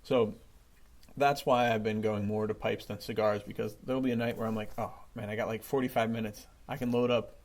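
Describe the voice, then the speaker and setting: Guy podcast host just chatting, bit of vocal fry, jokey and laid-back wording. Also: fairly low pitch, steady tone, fairly quick. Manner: informal, jokey, chuckles often. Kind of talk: casual chat.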